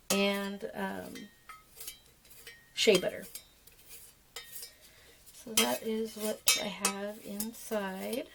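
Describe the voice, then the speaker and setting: A woman with a hoarse, froggy voice from being sick, casually walking through a soap-making demonstration with many fillers. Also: mid-range voice, background noise. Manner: relaxed, rambling, with many fillers. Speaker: soap maker and channel host.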